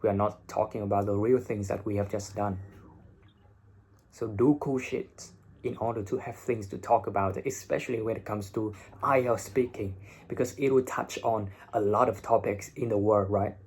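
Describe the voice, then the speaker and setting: A young man from Vietnam with a Vietnamese accent and a slightly husky voice, giving blunt, informal English-learning advice and swearing freely, recorded on a phone. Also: lowish voice, steady tone, normal speed, a little mellow. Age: young adult. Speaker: English teacher and video host. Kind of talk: casual advice talk to camera. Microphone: phone, no separate microphone.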